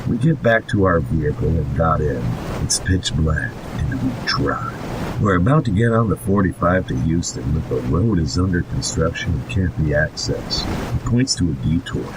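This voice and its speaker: A man co-hosting a podcast, reading and picking apart a horror story in a casual, exasperated, sweary way. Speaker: podcast co-host. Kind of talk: casual comic commentary on a horror story read aloud. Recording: loud low rumbling background noise.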